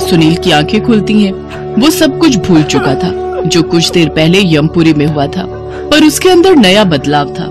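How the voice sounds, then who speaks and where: A woman reading scripted narration for a cartoon story. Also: lowish voice.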